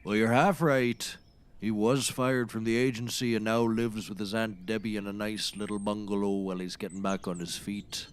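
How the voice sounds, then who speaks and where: An actor playing a folksy, dryly sarcastic backwoods man in a scripted comedy, with deadpan delivery. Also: fairly low pitch, lightly acted. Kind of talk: scripted audio comedy acting.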